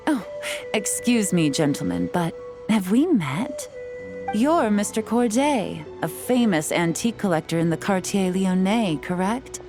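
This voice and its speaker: An actress playing a poised, shrewd undercover investigator in a video game, composed and coyly polite. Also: female voice, medium pitch, very animated, a little slow, music in the background. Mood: feigned politeness.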